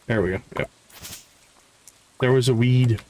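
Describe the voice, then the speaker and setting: A man's voice: medium pitch, a little slow, dark tone, slight background noise, low-quality recording. A man doing casual live commentary as he plays a game, chatting in a friendly way with viewers. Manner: friendly, greets viewers by name. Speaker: livestream host playing a farming game.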